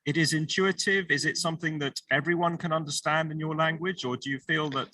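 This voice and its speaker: Male panel moderator, courteous, rambling questions; very bright, dry close sound. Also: on the high side, fairly even intonation, brisk, dry recording.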